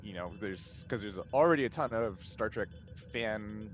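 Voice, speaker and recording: A man chatting casually in a group as a cast member answering fan questions, telling things in an animated, informal way. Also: on the low side, normal speed, a little hiss or hum.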